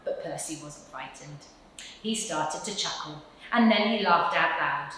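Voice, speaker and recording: A woman reading a children's picture book aloud with expressive, dramatic storytelling emphasis. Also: deep voice, measured pace, a little hiss or hum. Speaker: story reader. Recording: very echoey room.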